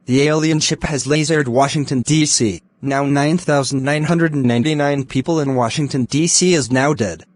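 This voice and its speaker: A man's voice: fairly high pitch, normal speed, hardly any pauses, no room sound. A man reading a scripted mock emergency broadcast as the alert announcer.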